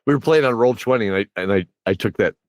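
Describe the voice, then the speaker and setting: A man's voice: fairly low pitch, fast talker, dry recording. A man hosting a casual podcast interview, telling game stories in a rambling way and repeating words as he thinks. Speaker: host and game master interviewing a player.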